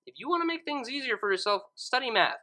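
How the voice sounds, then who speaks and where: Woman, fan fiction reader, bright forceful voice, very lively pitch, theatrical, expressive. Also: quick pace. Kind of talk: expressive story narration and casual commentary.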